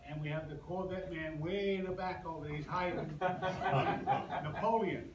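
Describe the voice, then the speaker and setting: A man's voice: a little high, fairly even intonation. Older New man, echoey room. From Brooklyn, New York. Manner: rambling, joking, halting with restarts. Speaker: business owner hosting a presentation. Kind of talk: casual talk to a live audience. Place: large echoey room with an audience. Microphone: far from the recording mic. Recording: echoey room, distant mic, noisy.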